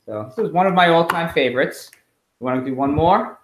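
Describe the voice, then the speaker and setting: A man reading a picture book aloud to children in an expressive, emphatic storytelling way, as a school principal at home. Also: on the high side, brisk, a little hiss or hum. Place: at home, indoors. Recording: roomy, echoey home recording.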